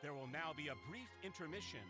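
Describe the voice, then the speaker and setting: Grand male theatre announcer for a game, actor, courteous and projected, high register, very animated, music underneath. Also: quick pace, natural voice. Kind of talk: stage announcement in video game. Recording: music behind.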